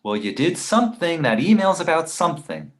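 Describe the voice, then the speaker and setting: A man's voice: fairly high pitch, very animated, a little fast. A man playing a nervous, nagging confidant who argues and worries aloud in a screenplay table read. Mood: nervous, agitated. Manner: argumentative, worrying aloud. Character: Victor, nervous nagging male confidant of a writer.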